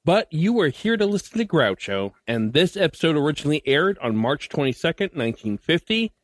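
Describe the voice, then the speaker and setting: A man's voice: on the high side, big pitch swings, a little fast, dry recording. A man introducing his podcast in a scripted host's delivery. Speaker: podcast host.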